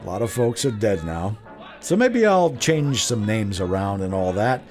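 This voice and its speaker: A man's voice: lowish voice, big pitch swings, moderate pace, a little mellow, soft background music. An actor playing a blunt, wry older Irish-American man telling a rambling, slangy yarn in a radio drama. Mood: wry, defiant. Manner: slangy, chatty, rambling with self-corrections and chuckles. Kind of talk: radio drama narration, folksy first-person yarn.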